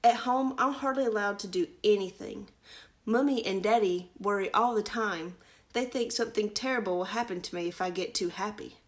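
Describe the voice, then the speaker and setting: A woman's voice: medium pitch, normal speed, natural voice. A woman voicing a young boy prince from a storybook, earnest, playful and eager.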